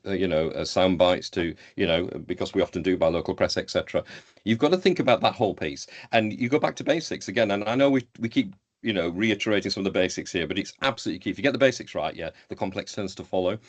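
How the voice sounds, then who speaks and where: A middle-aged man with a deep voice and a Yorkshire accent. He talks at a quick clip, like a seasoned webinar panellist, leaning emphatically on key words and repeating them for stress. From Yorkshire, England. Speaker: healthcare IT professional, panel speaker. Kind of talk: conversational webinar panel answer.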